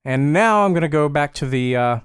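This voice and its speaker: A man presenting a tutorial as a casual spoken software demonstration, offhand and self-deprecating. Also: a little high, lively intonation, brisk, clear, resonant tone, dry recording, clean studio sound.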